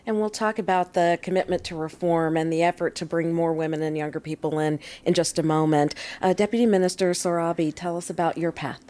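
A woman hosting an interview, reading a polished introduction and asking guests questions in an even broadcast delivery. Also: lowish voice, fairly quick, hardly any pauses. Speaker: interviewer, host.

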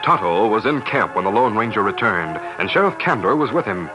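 A man's voice: lowish voice, very animated, fairly quick, music in the background, rough recording. A man narrating an old radio drama in a formal, theatrical style.

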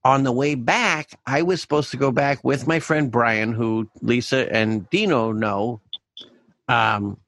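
Male podcast host, mid-pitched, loose and profane, spins anecdotes with lots of asides; close dry mic. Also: a little slow, dry recording. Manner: animated, swears freely, acts out quoted voices. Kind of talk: casual comedic storytelling.

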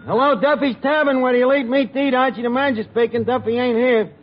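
An actor playing a slangy, wisecracking tavern manager with a New York accent and a hesitant drawl, in 1940s radio comedy. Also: male voice, very high-pitched, steady tone, fast talker. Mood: wry, chatty. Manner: drawling, hesitant, malapropism-prone wiseguy. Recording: muffled noisy old radio recording, live audience. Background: laughing studio audience.

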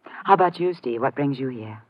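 Flirtatious femme fatale, swooping intonation. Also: female voice, a bass voice, expressive, moderate pace, no room sound. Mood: coy, suggestive. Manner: coy, teasing, suggestive. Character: flirtatious, self-assured woman photographer seeking excitement. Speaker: actor in a radio drama. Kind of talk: old-time radio acting. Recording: muffled vintage radio recording.

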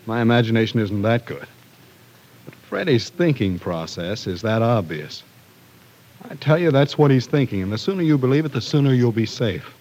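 An actor playing a stubborn, distrustful small-town brother in a 1940s radio drama, blunt and scoffing. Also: male voice, medium pitch, animated, normal speed, darkish tone, close to an everyday voice, rough recording. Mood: insistent warning.

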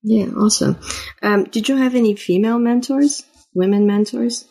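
A woman's voice: a little high, moderate pace, dark tone. A woman hosting a book interview, introducing her guest and asking friendly questions with many ums. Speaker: podcast host.

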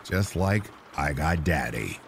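A male narrator acts out a cruel, jaded brother in a dramatic audiobook. The voice is low, harsh and accusing, ranting with menace. There is slight noise in the recording. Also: animated, slow, gravelly, heavily acted. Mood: menacing rage. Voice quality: guttural laugh, harsh delivery.

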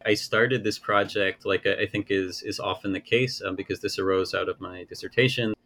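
Male author, thirties, low gravelly voice, careful hedging interview answers. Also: fairly even intonation, a bit bright. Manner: measured, hedging, many restarts. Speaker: author and researcher.

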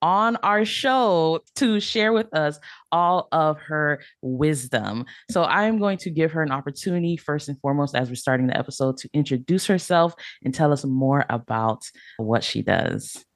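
A woman's voice: low-pitched, expressive, moderate pace, no room sound, clean studio sound. A woman hosting a podcast about marriage, reading an intro and then interviewing a guest with enthusiasm. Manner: upbeat, enthusiastic. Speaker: podcast host.

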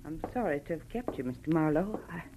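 A woman's voice: sits low, lively intonation, fast talker. An actress playing a middle-aged, genteel shopkeeping mother with polite, careful restraint in 1940s radio drama. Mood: polite, subdued. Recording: old radio recording with faint noise.

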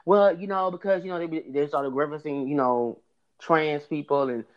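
A man with a high voice telling a story in casual chat, rambling with many restarts. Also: fairly even intonation, moderate pace, clean tone, lo-fi sound. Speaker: podcast guest telling a story.

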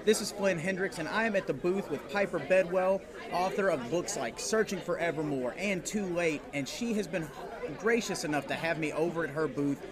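A man's voice: fairly high pitch, normal speed. A man hosting a casual live interview, chatty and enthusiastic. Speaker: podcast host and interviewer. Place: convention booth. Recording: busy convention crowd behind voice. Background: crowd chatter.

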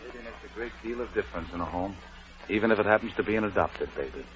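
A man announcing and narrating a 1940s radio play in a measured, theatrical manner. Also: lowish voice. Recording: dull vintage radio, music behind.

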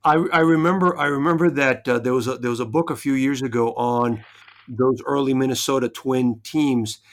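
A man hosting a podcast and interviewing an author. He talks in a relaxed, conversational way and strings his questions together into long, multi-part asks. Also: medium pitch, moderate pace. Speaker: podcast host.

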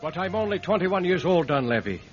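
A man's voice: high-pitched, sing-song, fairly quick, husky, faint music behind, full character voice. A man playing a stubborn, aggrieved young Irishman in a radio drama, defiant and plaintive. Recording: dull radio recording with crowd behind.

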